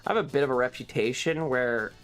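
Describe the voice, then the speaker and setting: A man talks like a streamer chatting to viewers, telling a story in loose, halting pieces. His delivery is wry and informal, with some swearing. Music plays faintly behind his voice. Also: mid-range voice, a bit bright. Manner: frequent throat clearing. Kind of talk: casual rambling storytelling on a stream.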